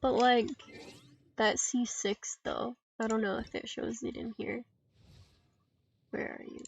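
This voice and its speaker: A woman giving casual solo commentary on a game stream in a playful, dryly self-deprecating, halting way. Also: a little high, flat delivery, vocal fry. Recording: dull lo-fi mic with faint noise and clicks.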